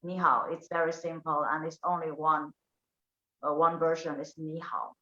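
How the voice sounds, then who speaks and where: A woman's voice: low voice, flat delivery, normal speed, pushed and bright. A woman guest whose first language is Finnish, speaking hesitantly and searching for words. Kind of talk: casual panel chat. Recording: echoey room, dull lo-fi audio.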